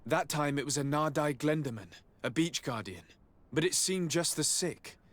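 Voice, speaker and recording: Male actor as principled noble envoy, slightly high voice, measured, formal, serious, video game drama. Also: a bit bright, lightly acted. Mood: serious, reporting. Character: earnest, principled noble envoy, loyal younger man.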